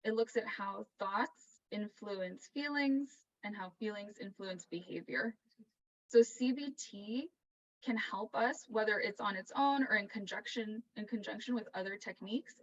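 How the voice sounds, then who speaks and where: A woman therapist and author with a soft voice, calmly explaining ideas during a video call panel conversation. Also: mid-range voice. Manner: calm, explanatory, measured. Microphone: video call audio. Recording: muffled distant video call audio.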